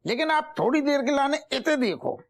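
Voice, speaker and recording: An actor with a Bundelkhandi accent playing a sly, pompous small-town police inspector who rambles in rustic sayings. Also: male voice, very high-pitched, animated, moderate pace. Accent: Bundelkhandi Hindi.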